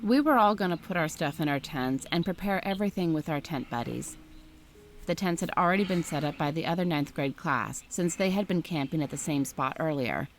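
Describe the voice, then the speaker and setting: Low-voiced woman narrating a story. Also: a little fast, slight background noise. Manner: steady storytelling read. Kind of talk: scripted narration.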